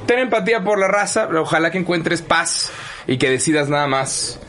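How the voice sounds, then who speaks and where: A man with a light northern Mexican accent and a fairly high, very lively voice talks casually as a podcast guest. He is slangy and swears freely. There is faint noise behind him. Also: normal speed. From Monterrey, Mexico. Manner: slangy, foul-mouthed. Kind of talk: casual comedic podcast chat.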